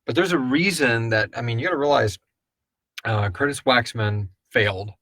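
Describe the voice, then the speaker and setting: A man hosting a casual question and answer show, talking like an animated storyteller. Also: medium pitch, normal speed, no echo, clean studio sound. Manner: animated storyteller, acts out quotes, swears casually. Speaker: host of an audio drama Q&A show. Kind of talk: casual fan Q&A chat.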